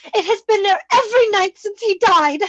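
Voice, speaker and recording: Very high, distraught haunted sister woman acting. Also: normal speed, no room sound, highs cut off. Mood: distressed. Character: distraught, haunted sister in a gothic ghost story. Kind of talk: old-time radio drama acting.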